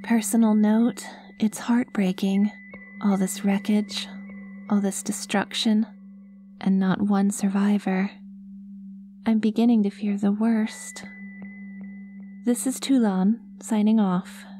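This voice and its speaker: A woman playing a cute, earnest, easily flustered young alien girl in a soft-spoken ASMR roleplay. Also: medium pitch, little pitch movement, slow, pauses often, faint music behind, lightly acted. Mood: soft, sombre. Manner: earnest, flustered, talks to herself. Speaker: audio roleplay performer.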